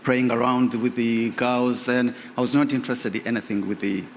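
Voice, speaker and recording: A man's voice: mid-range voice, steady tone, normal speed, a little hiss or hum. Hesitant man from Uganda telling his story. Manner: hesitant, with many fillers and restarts. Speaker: interview guest. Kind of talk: personal storytelling in interview. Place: radio theatre stage. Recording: reverberant live theatre.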